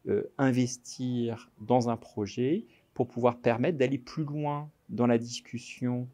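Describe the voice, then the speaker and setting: A man gives a careful, halting formal interview statement as a delegate. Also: medium pitch, fairly even intonation, warm tone. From France. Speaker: French delegate.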